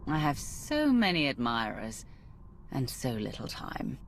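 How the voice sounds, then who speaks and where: A woman's voice: very deep voice, huge pitch swings, unhurried, vocal fry, a little hiss or hum, exaggerated voice. An actress playing a ruthless, imperious woman commander in a video game, speaking with cold, commanding menace. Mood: menacing, sardonic. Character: ruthless, imperious female Sith commander of a fleet. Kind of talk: video game cutscene acting.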